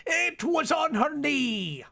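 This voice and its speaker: A woman's voice: high-pitched, sing-song, takes it slowly, creaky, pushed and bright, heavily acted. A woman playing a jumpy, evasive informant in an old-time radio detective play, stammering and hesitant. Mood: evasive, flustered.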